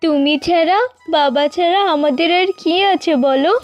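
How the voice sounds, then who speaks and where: A woman voicing a selfless, dutiful young elder sister in a cartoon, pleading and soft-hearted. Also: sits high, normal speed, lo-fi sound, soft background music, lightly acted. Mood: tender, pleading. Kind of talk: Bengali cartoon fairy-tale acting.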